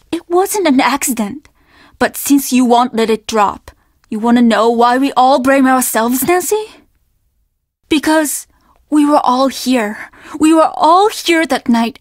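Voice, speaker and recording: An actress playing a stern young innkeeper's daughter in a video game, speaking in a measured, formal and easily offended way. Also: female voice, high-pitched, dry recording, studio quality. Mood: bitter, grieving. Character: guarded, stern young Japanese innkeeper's daughter, polite but curt. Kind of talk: video game character dialogue.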